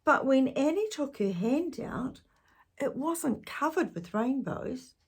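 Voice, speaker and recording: A woman reading a children's storybook aloud, with measured, expressive narration for young listeners. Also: sits high.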